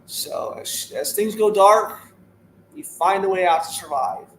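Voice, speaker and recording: A man's voice: sits high, lively intonation, measured pace, a bit bright, reverberant, slight background noise, rough recording. A man in his thirties talking casually as a podcast guest, earnest and repetitive as he gives a motivational message. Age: 30s. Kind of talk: casual interview talk.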